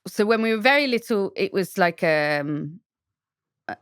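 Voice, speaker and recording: A woman from Shropshire answering questions as a designer guest on a podcast. She talks reflectively, pausing often with fillers and restarting her sentences. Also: medium pitch, lively intonation, clear, resonant tone, no room sound, studio quality. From England, Shropshire.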